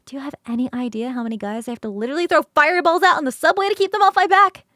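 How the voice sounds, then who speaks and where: A woman performing an ASMR roleplay as a playful, affectionate succubus roommate who talks straight to the listener in a coaxing, halting, expressive way. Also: high voice, no room sound, clean studio sound. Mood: earnest, flustered. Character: affectionate, playful succubus roommate, young-sounding, eager to cuddle. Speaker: streamer performing scripted roleplay.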